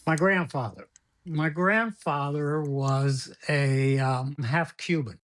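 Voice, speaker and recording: A man's voice: fairly high pitch, takes it slowly, a bit bright, faint music behind. An older man telling long stories in English, his second language after Spanish. He rambles, repeats himself and laughs partway through his anecdotes. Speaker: guest. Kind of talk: storytelling interview.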